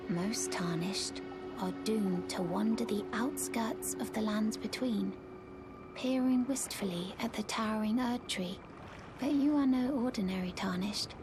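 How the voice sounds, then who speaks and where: A woman plays a soft-spoken, courteous servant of a noble house in a fantasy video game. She speaks slowly and formally in a breathy near-whisper. Music plays clearly behind her. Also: medium pitch, lightly acted. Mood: grateful, reverent. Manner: formal, polite, measured. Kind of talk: video game character acting.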